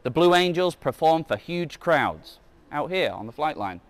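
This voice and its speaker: A man with a somewhat high-pitched voice reads a children's book aloud like a museum guide. He slips casual asides into the text and corrects himself when he trips on a word. The sound is dry, with very little room echo. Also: sing-song, normal speed. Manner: stumbles over words and corrects himself.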